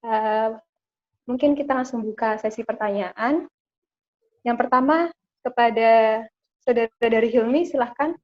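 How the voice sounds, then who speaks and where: A woman's voice: fairly high pitch, measured pace, long pauses. A woman moderating a webinar in a polite, formal and slightly hesitant way. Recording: dull lo-fi audio.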